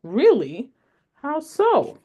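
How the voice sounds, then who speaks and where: A woman voicing a curious, excited six-year-old girl in a read-aloud story, asking question after question. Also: very slow, highs cut off, heavily acted. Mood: eager. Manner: high-pitched, eager questioning.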